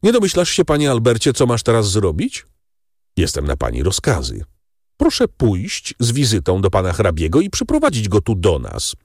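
A man voicing gossiping ladies and gentlemen at the opera in a raised, animated voice. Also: on the low side, moderate pace, no echo, clean studio sound, pitched above the performer's own voice, exaggerated voice. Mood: chatty.

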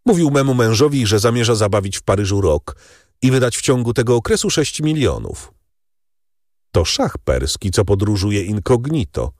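Gossipy society ladies and gents, a guy doing the voices, pitched up, super animated. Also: moderate pace, no room sound, clean studio sound. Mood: chatty. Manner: raised, animated voice for dialogue. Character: gossiping society ladies and young gentlemen at the opera.

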